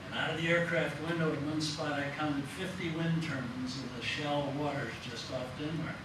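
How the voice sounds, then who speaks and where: A man giving an informal panel talk in a loud, plain, matter-of-fact voice. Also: a little high, fairly even intonation, moderate pace. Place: lecture room. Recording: echoey room from a distant mic, noisy.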